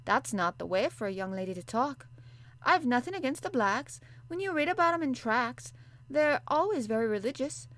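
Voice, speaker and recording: A woman's voice: sits high, lively intonation, moderate pace, fairly bright tone, no room sound, voice pushed up. Woman voicing a young Yorkshire housemaid, broad dialect, blunt, good-natured, storybook. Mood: chatty. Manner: broad dialect, plain-spoken and homely.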